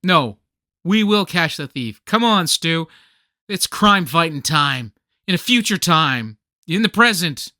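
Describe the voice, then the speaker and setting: An actor playing a young, theatrical, self-centred comic-book fan with a whiny, jokey way of talking in a comedy radio play. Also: male voice, fairly high pitch, lively intonation, slow pace, pushed and bright, no room sound, clean studio sound, full character voice. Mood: grand, eager.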